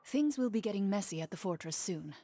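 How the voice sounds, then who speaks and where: A woman's voice: medium pitch, lively intonation, a little fast, dark tone, a little hiss or hum, natural voice. A woman voicing a composed, terse champion duelist in a video game. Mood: cool, reserved. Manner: reserved, terse, unruffled.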